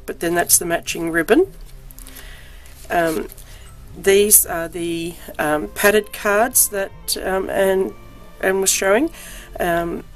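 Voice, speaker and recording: Croaky, under-the-weather woman, low-pitched, chatty show-and-tell with faint music behind. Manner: chatty, frequent ums, self-deprecating. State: croaky voice from illness. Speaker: crafting vlogger.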